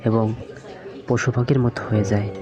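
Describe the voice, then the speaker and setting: A man's voice: fairly low pitch, level tone, normal speed, close to an everyday voice. A man voicing a pious, stern uncle in a religious cartoon, admonishing his nephew in a didactic way. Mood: preaching, stern. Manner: didactic, questioning. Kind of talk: scripted religious cartoon dialogue and preaching. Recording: music behind the voice.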